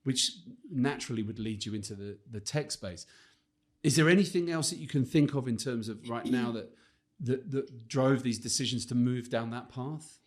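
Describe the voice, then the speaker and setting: A man's voice: mid-range voice, moderate pace. A man hosting a podcast, asking a long, rambling question and affirming his guest. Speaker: podcast host. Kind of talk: interview question.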